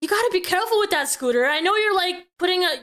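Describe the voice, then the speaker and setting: A woman's voice: very high-pitched, expressive, fast, a bit bright. A woman giving casual live reaction commentary as a streamer, emphatic and exasperated in her opinions.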